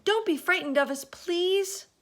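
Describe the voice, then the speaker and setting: A cheerful young boy from a storybook, put on by a woman reader. He chants rhymes in a high, sing-song voice with a swooping lilt. The delivery is slow and eager. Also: sing-song. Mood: excited.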